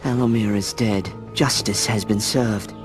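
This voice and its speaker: A man's voice: lowish voice, fairly even intonation, unhurried, close to an everyday voice. An actor playing an earnest young male owl warrior in a video game, speaking with intensity. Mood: reflective. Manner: intense, emotional. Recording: game audio with music behind.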